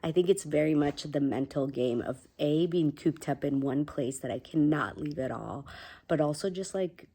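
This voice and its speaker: A woman in her thirties who is hoarse from being ill, talking quietly in a casual vlog from her bedroom at home, with many "um"s and "like"s. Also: low-pitched, moderate pace. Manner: soft, subdued, chatty with frequent "like" and "um". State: hoarse from illness. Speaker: vlogger.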